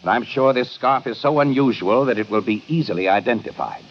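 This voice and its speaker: An actor playing a commanding police inspector who questions pointedly, in an old-time radio detective drama. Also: male voice, mid-range voice, lively intonation, brisk, lightly acted. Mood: probing. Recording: muffled, noisy old radio recording.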